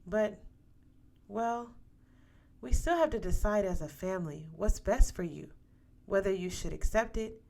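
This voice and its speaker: A woman reading the part of a gentle, cautious mother speaking hesitantly to her teenage daughter in a story. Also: mid-range voice, expressive, measured pace, creaky, pauses often.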